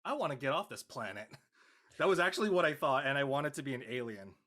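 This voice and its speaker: A man's voice: medium pitch, lively intonation, a little fast, sharp tone. A man in casual discussion, starting sentences hesitantly and stammering a little. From Canada. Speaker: panelist in a post-show cast discussion.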